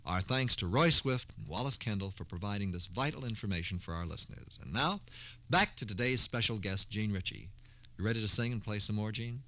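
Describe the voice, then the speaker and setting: A man's voice: on the low side, lively intonation, fairly quick, sharp tone, no echo. A man hosting a scripted 1960s radio program in a formal, courteous announcer manner. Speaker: radio host.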